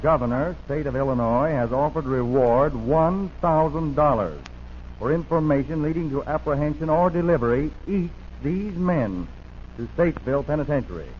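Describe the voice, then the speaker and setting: A man's voice: on the high side, normal speed, highs cut off, slight background noise. An American man announcing in urgent, melodramatic 1940s radio style, on an old broadcast recording. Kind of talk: 1940s dramatic radio narration.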